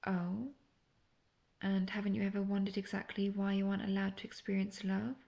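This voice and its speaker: Obsessive witch played by a woman for intimate audio roleplay: creaky, breathy, coaxing, sweetly manipulative. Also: mid-range voice, completely flat delivery, warm tone, dull audio, close to an everyday voice. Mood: teasing, probing. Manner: breathy, slow, coaxing, often half-whispered. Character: obsessive young female witch, lovestruck and manipulative.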